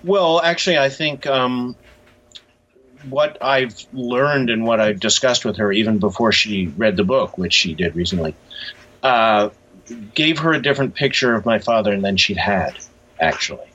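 Low, husky man speaking thoughtfully. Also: normal speed, a bit bright. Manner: hesitant, thoughtful phrasing. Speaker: interviewed author. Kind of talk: reflective interview conversation. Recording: dull, lo-fi sound.

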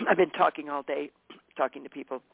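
Hoarse man on a phone line. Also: fairly high pitch, steady tone, normal speed, dry recording. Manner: chatty, warm, self-correcting, laughs often. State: hoarse, tired voice. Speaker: regular guest on a talk show. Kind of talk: casual radio show chat. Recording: dry, phone-line sound.

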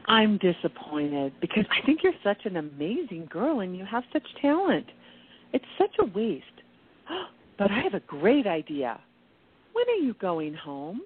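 A woman's voice: mid-range voice, slight background noise. A woman acting a gushing, theatrical singer who speaks effusively and affectionately, in a radio play. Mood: earnest, urging. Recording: tinny phone-like lo-fi audio.

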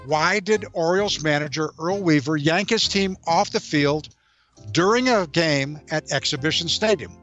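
A man presents a trivia segment for sports radio, reading from a prepared script. His pitch is slightly higher than most men's, and there is faint music in the background. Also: moderate pace, a bit bright, highs cut off.

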